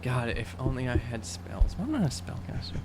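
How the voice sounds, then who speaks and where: A man's voice: medium pitch, very animated, brisk, a crowd in the background. A man playing a tabletop role-playing game, bantering in a joking, informal way. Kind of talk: casual banter during game play. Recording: faint hum and background noise.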